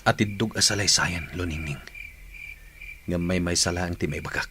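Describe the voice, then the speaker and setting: An actor playing an earnest, regretful young bandit in a radio drama, speaking in hushed, urgent, conspiratorial tones. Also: male voice, low voice, moderate pace, noisy background, natural voice. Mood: earnest, secretive. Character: regretful young bandit, earnest secret rescuer of his beloved.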